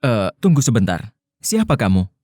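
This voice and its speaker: A woman doing a low-voiced young prince. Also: big pitch swings, normal speed, mellow, heavily acted. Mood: puzzled. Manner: puzzled, questioning. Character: young male prince, bewildered and searching. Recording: long reverb with music behind.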